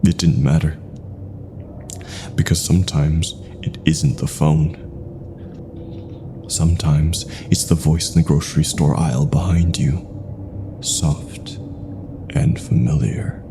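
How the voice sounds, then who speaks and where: A man reads a scripted horror story in a very low, gravel-edged voice. His delivery is slow and deliberate, with hushed phrase endings. There is faint noise behind him. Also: fairly even intonation.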